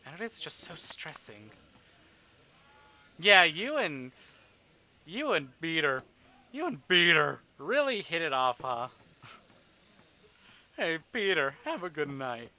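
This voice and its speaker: A man's voice: on the high side, pushed and bright. A man live streaming, reading a story aloud and adding playful, exclamatory asides to his chat. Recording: music behind the voice.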